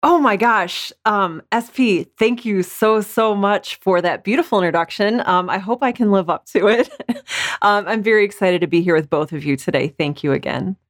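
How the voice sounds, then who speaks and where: A woman's voice: mid-range voice, normal speed, dry recording, clean studio sound. A woman guest on a podcast, telling her story conversationally and effusively, acting out bits of dialogue. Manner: effusive, acts out remembered dialogue. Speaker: podcast guest, audio drama producer and writer.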